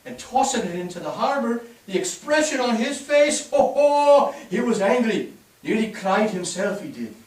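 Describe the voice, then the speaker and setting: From far off in an echoey theatre, a man plays an immigrant telling stories of his youth. His high voice moves in lively swings, with folksy little asides. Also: normal speed. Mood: amused, indignant. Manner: animated storytelling, folksy asides. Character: chuckling Irish immigrant man recounting his boyhood. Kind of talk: staged play reading, reminiscence. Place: theatre stage. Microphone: distant microphone. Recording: distant, echoey stage pickup.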